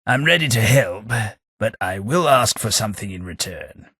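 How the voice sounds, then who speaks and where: A man voicing a posh, sardonic British gentleman companion in a game, with a British accent. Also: lowish voice, expressive, moderate pace, husky, no room sound, heavily acted. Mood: wry. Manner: dry, wry, posh and sardonic. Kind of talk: game voice lines.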